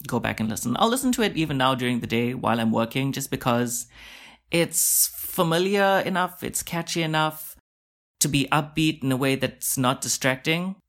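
Young guy rambling on his solo podcast, jokey and self-deprecating, medium pitch. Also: expressive, normal speed, a bit bright. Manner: chatty, self-mocking, rambling asides. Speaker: podcast host. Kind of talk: casual solo podcast monologue.

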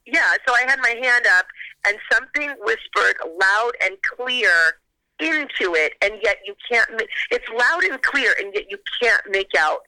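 A woman guest on a phone call, telling a story in a casual, animated way and acting out her own reactions. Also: fairly high pitch, normal speed, no room sound.

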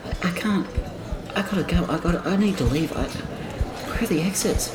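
A man's voice: high-pitched, natural voice. Man acting an anxious, stammering man in echoing noise. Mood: panicked. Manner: stammering, halting, repetitive, fretful. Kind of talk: first-person inner monologue in audio drama. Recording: noisy, echoing room sound.

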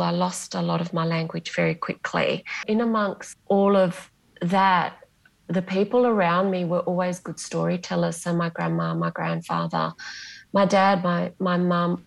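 Gravelly-voiced Australian woman, reflective and low. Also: measured pace, rough recording. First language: Greek. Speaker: novelist guest. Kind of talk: podcast interview.

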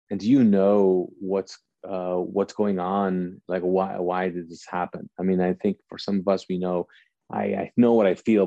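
A man in his forties, from Kuwait, gives a personal, reflective talk to his audience in a halting, thoughtful way, searching for his words. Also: low voice, dark tone, dry recording, muffled recording. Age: 40s. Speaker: speaker addressing an audience.

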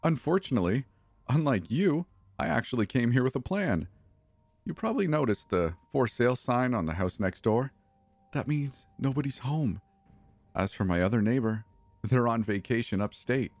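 Cruel teenage girl, voiced by a man, shrill, mocking, raised voice, dry sound. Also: animated, normal speed, darkish tone, dry recording. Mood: gloating.

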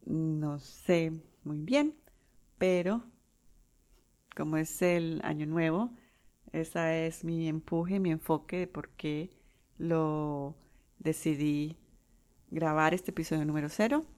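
A Colombian woman in her forties hosting her own podcast, talking unscripted with pauses and restarts. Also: low-pitched, a little mellow. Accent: Colombian Spanish. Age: 40s. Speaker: podcast host. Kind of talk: solo podcast monologue.

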